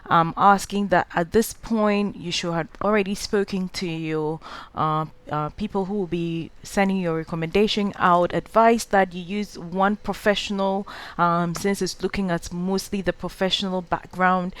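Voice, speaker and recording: Young Ghanaian-raised woman, lowish smooth voice, hesitant tutorial delivery. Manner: hesitant, many "um" and "uh" fillers, advising directly. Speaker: scholarship guide host. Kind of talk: screen-recorded walkthrough tutorial.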